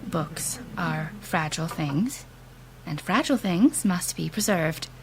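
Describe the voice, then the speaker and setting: An actress playing a solemn, gentle bereavement group leader in a fiction podcast, speaking in a measured, formal manner. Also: female voice, lowish voice, expressive, slight background noise, poor audio. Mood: solemn, welcoming. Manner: measured, formal, deliberate phrasing.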